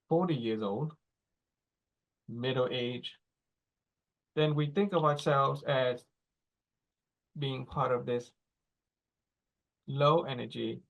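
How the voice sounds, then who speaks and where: A man in his forties giving a teaching talk, explaining slowly in short phrases and checking in with "right?" and "okay?". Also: mid-range voice, creaky, poor audio. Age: 40s. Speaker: speaker.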